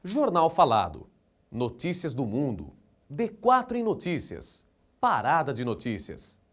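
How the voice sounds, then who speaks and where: A man's voice: a little high, huge pitch swings, unhurried. A man with a Brazilian accent announcing a script in a dramatic style. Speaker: documentary announcer.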